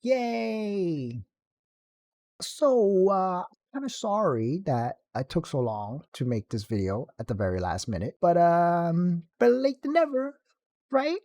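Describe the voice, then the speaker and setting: Male tutorial host, mellow tone with animated pitch, casual and jokey streamer delivery. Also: slow, dry recording, clean studio sound. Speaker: video guide host, game streamer. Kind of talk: casual screen-recorded tutorial narration.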